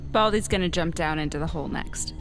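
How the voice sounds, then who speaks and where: A woman's voice: sits low, fast talker, music in the background. A woman playing a tabletop game, chatting and roleplaying casually.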